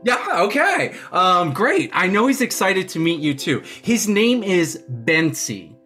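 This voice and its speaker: A woman's voice: fairly low pitch, sing-song, a little slow, fairly bright tone, soft background music. A woman teacher hosting a children's video-call lesson, encouraging them and asking questions.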